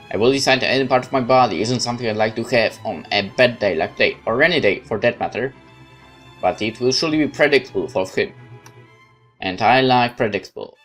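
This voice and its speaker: A man narrating a horror visual novel in first person, calm, measured and brooding. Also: mid-range voice. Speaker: let's play host narrating a visual novel.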